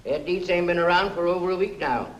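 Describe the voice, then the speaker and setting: An echoey, faintly noisy old film track carries a man playing a folksy old theater hand. His voice sits fairly high, and he speaks briskly in period character style. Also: dull audio, lightly acted. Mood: matter-of-fact. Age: older. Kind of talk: 1950s film character acting.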